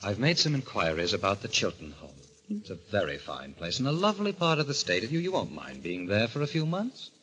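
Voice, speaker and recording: Patronising, menacing husband; low, swooping delivery, old-time radio mystery with noisy sound. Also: male voice, huge pitch swings, brisk, bright, forceful tone, full character voice. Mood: coldly persuasive. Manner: controlling, insistent, falsely soothing. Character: scheming cruel husband, smooth and menacingly patronising. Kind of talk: vintage radio drama acting. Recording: noisy vintage radio recording.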